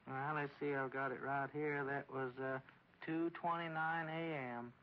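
A man's voice: fairly high pitch, level tone, unhurried, slight background noise, close to an everyday voice. An actor playing a meek, simple, dutiful small-town man with a rural Southern accent, reporting earnestly and hesitantly in a 1940s radio comedy. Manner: deferential, literal, hesitant. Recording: muffled old radio recording.

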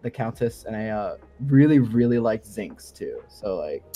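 A man's voice: on the low side, animated, moderate pace, crowd noise. A young adult man talking casually as a podcast guest, informal, a little hesitant and wry. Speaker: guest, game sound designer. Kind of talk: casual interview chat.

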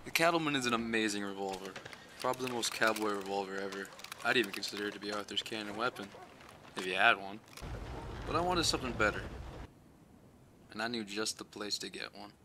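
A man casually comments on a video game. His voice is on the low side and he talks quickly. Loud game noise and hoofbeats sit behind him. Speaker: gameplay video maker.

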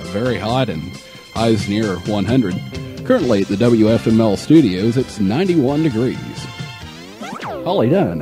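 A young American man reading local radio announcements in a measured, formal announcer manner, on an old aircheck tape. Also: on the low side, warm tone. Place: radio studio.

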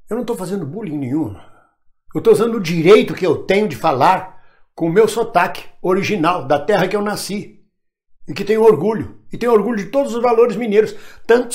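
An older Brazilian man from Minas Gerais, an actor speaking straight to camera at home, emphatic and proud as he defends himself. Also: high voice. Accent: Brazilian Portuguese, national standard. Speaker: actor addressing followers. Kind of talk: direct-to-camera monologue. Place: home room with bookshelf, indoors.

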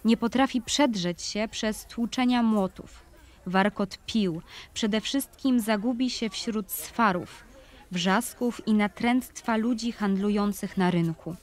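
A woman's voice: medium pitch, takes it slowly. A woman reading scripted literary narration for an audio play, in the manner of a reminiscing storyteller.

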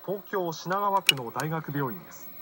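A man's voice: a little high, lively intonation, moderate pace. A man reading scripted news narration, heard from a television speaker. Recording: TV speaker re-recorded, faint noise.

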